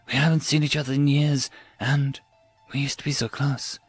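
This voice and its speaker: A man's voice: mid-range voice, animated, a little slow, breathy edge, dry recording, soft background music, pitched above the performer's own voice. A man voicing a remorseful male soldier in a story, tearful, hesitant and lamenting. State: crying.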